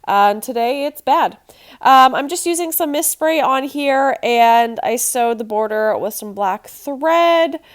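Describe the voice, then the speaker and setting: A woman's voice: high-pitched, lively intonation, a little slow. A young woman with a nasal, congested voice, chatting casually with many ums as she talks through a craft project. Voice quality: nasal from allergies. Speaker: scrapbooking channel host.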